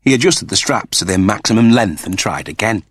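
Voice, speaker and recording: A man reading scripted fiction as an audiobook narrator, with animated, theatrical storytelling. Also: lowish voice, fairly quick, breathy edge, no echo.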